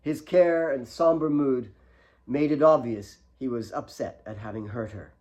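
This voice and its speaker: A man narrating dramatic fiction as its author, in an expressive storytelling manner. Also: mid-range voice, measured pace, lo-fi sound. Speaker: author reading own fiction.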